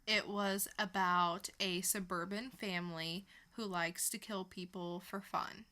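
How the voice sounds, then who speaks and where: A woman's voice: mid-range voice, steady tone, unhurried, bright, forceful tone. A woman co-hosting a podcast, chatting casually in a hesitant, informal way. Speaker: podcast co-host. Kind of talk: casual chat.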